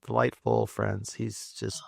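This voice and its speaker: A man's voice: fairly low pitch, little pitch movement, slow pace, gravelly, no room sound, clean studio sound. A man in his sixties hosting a casual podcast interview, friendly and rambling, with plenty of fillers and restarts. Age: 60s. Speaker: podcast host.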